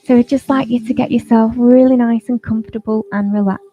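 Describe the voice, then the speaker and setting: A woman teaching yoga nidra, leading a guided relaxation in a soft, soothing, informal way. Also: a little high, steady tone, brisk, faint music behind.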